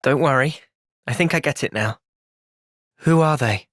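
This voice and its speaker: A man voicing an earnest, thoughtful young soldier in a video game, with an English accent and a measured, sincere delivery. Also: mid-range voice, fairly bright tone, no echo, studio quality, close to an everyday voice. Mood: calm, earnest. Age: young adult. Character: earnest, thoughtful young male soldier, gentle but resolute. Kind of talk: video game cutscene acting.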